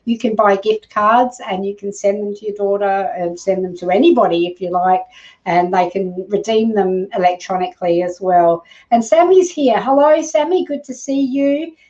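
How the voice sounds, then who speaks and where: A woman's voice: mid-range voice, normal speed, darkish tone, distant mic, highs cut off. A woman hosting a live shopping stream, chatting casually and encouragingly as she reads out viewer comments. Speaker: live shopping show host.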